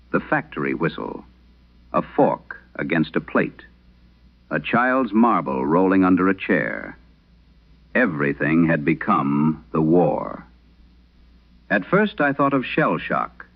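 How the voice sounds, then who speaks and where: Deep-voiced old priest played by an actor, grave and haunted. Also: male voice, narrow, tinny audio, heavily acted. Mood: grave, somber. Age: older. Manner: solemn, measured storytelling. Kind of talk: dramatic scripted horror radio monologue.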